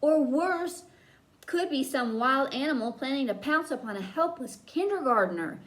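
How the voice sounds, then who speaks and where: A woman's voice: high-pitched, animated, normal speed, pitched above the performer's own voice. A woman storyteller voicing a daring, grumbly, scheming schoolgirl in a children's book.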